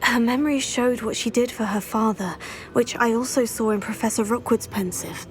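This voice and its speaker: A woman's voice: fairly high pitch, normal speed, a little hiss or hum, natural voice. A woman voicing an earnest, determined young student of magic in a video game, polite and direct. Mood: earnest, explaining. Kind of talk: video game character dialogue.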